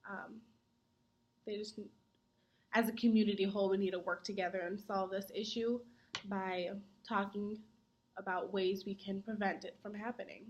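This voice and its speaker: A young adult woman, a student, giving earnest answers in a mock interview, often pausing on "um". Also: mid-range voice, steady tone, muffled recording, slight background noise. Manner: earnest, many "um" fillers; says she talks fast when nervous.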